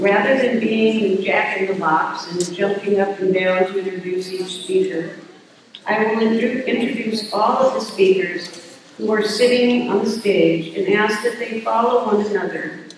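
A woman's voice: mid-range voice, a little slow. An older woman speaks from a podium on a large stage, delivering formal commencement welcomes and introductions with a dry, self-deprecating touch. Her voice rings through a big, reverberant hall and sounds set back from the microphone, with a faint hiss underneath. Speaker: university president. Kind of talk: commencement address. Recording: echoey hall, heard from a distance.

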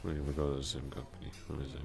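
A man playing a drunk, lovestruck coworker in an intimate ASMR roleplay, rambling bashfully, halting, repeating himself and putting himself down. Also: extremely low pitch, completely flat delivery, gravelly, darkish tone, soft background music. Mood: bashful, smitten.

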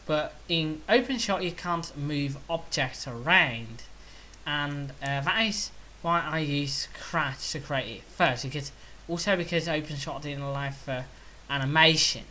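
A young man giving casual, unscripted video commentary in a halting way, thinking aloud. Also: fairly high pitch, sharp tone. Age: young adult. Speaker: video creator commenting on viewer feedback. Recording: hissy home recording.

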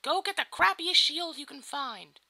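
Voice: high-pitched, animated, moderate pace, vocal fry, sharp tone, no echo, heavily acted. An amateur performer voicing a dim, breezy young pirate girl, casual and slangy, in a video game parody. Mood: reluctant, annoyed.

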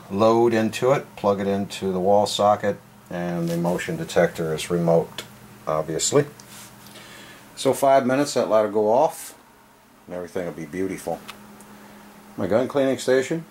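A man's voice: deep voice, darkish tone, slight background noise. A man giving a casual, offhand room tour on a handheld camera, talking in salty, folksy, self-deprecating slang. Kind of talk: casual offhand vlog narration. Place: small home gun room, indoors. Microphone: handheld camera, operated by the speaker.

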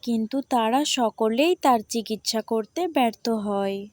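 A woman narrating a scripted storybook tale in a measured, deliberate manner. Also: fairly high pitch, a little mellow, no room sound.

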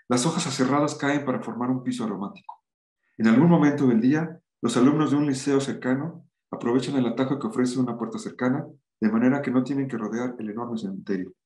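A man's voice: mid-range voice, darkish tone. Middle-aged guy lecturing on a webcam call, reads bits out and comments, kinda roomy echo. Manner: reads aloud with asides and restarts.

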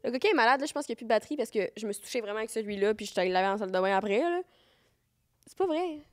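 A woman's voice: a little high, expressive, rapid-fire. A young woman with a Québécois French accent co-hosting a podcast, joking and swearing casually from a studio couch into a handheld mic. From Quebec. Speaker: podcast co-host. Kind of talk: casual chat.

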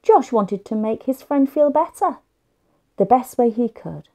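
Slow, high-voiced woman storyteller. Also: animated, mellow. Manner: careful, storytelling delivery for young children. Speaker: children's story reader. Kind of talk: expressive read-aloud of a picture book.

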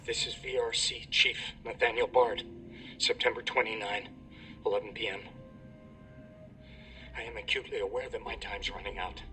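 An actor voices a remorseful older scientist confessing into a video log, his low voice grave and measured. The sound is set back from the mic with music playing underneath. He talks like a man whose time is running out. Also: fairly even intonation, close to an everyday voice. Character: aging male scientist, guilt-ridden, confessing on video. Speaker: recorded video message. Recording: distant, with music behind.

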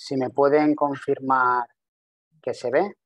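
Guy in his 30s on a video call giving a webinar, not his first language, talks fast and restarts a lot, dry sound. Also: medium pitch, level tone, dry recording, highs cut off. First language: not Spanish. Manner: quick, with restarts and repeated words. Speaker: webinar presenter, systems engineer. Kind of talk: webinar presentation. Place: home office with virtual background. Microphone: video call webcam.

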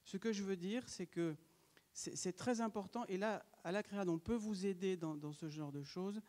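A man's voice: sits high, normal speed, darkish tone. A man, speaking as a panelist in a public debate, insistent and emphatic. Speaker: panel speaker from a radiation monitoring group.

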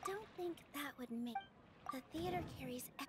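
An actress playing a gentle, earnest young female performer in a video game, speaking politely. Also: high-pitched, expressive, moderate pace, very noisy. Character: gentle, earnest young female theater dancer defending her art. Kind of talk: video game dialogue acting.

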